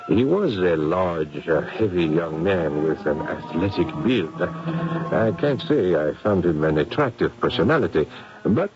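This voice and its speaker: An actor playing a skeptical, polite professor who narrates a 1970s radio ghost drama, formal, wry and deliberate. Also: male voice, low-pitched, lively intonation. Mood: detached. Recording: muffled vintage radio drama recording.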